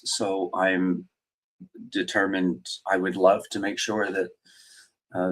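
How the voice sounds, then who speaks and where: A middle-aged man chats loosely on a livestream, his voice sitting a little low. He rambles through viewer comments with lots of ums, uhs and restarts. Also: poor audio. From Australia. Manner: rambling, hesitant, many fillers and restarts. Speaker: host. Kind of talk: casual livestream chat, reading viewer comments.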